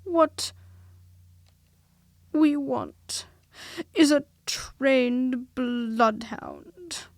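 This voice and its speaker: A woman voicing a gloomy, melancholy old male donkey in a storybook reading, with a slow, drawn-out, mournful delivery. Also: high voice, creaky, no room sound, studio quality, pitched above the performer's own voice, heavily acted.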